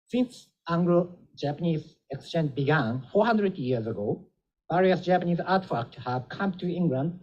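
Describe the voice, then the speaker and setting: A man delivers a formal introduction speech, measured and slightly halting. His voice sits a little high but mellow. The recording is dull and lo-fi. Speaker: embassy minister introducing a lecture.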